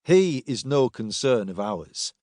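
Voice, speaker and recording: A man voicing a weary, steely male Roman agent in an audiobook, speaking in a measured, dry, firm way. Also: medium pitch, sing-song, darkish tone, no room sound. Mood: cool, wry.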